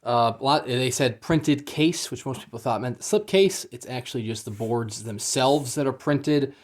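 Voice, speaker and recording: A man talks through an unboxing in a casual, unscripted way. His delivery is understated and a little hesitant, with a mildly let-down tone. His voice sits at an average pitch. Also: moderate pace. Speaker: book unboxing reviewer. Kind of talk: casual unscripted product review.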